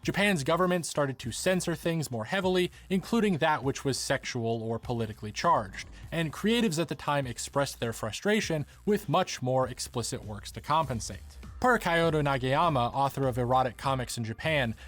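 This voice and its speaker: A man reading scripted narration in a measured, formal way, like a documentary essay. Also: medium pitch, animated, fairly bright tone. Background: background music.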